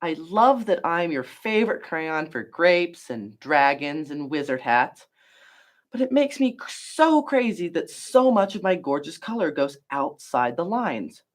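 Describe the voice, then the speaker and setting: Storybook purple crayon, played by a reader: neat freak, high-strung, swooping upward in pitch. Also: sing-song, unhurried. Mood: frantic.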